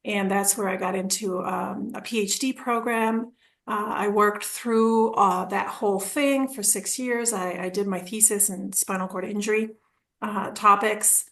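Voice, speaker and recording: A woman's voice: medium pitch, fairly even intonation, measured pace, a bit bright. A woman in her forties from Argentina, a podcast guest telling her story in a rambling, casual way. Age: 40s. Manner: rambling, restarts, acts out quoted dialogue with laughs.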